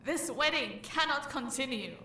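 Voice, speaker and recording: A woman's voice: sits high, lively intonation, moderate pace, sharp tone, slight background noise. A woman playing a desperate, defiant young lover pleading in an audio drama. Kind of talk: audio roleplay drama acting. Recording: echoey hall.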